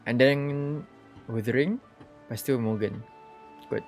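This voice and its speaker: A man's voice: medium pitch, unhurried, darkish tone, soft background music. A young man with a Malaysian accent, chatting offhandedly as a live game streamer. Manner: mixes Malay and English offhandedly. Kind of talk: casual live stream chat reacting to a game.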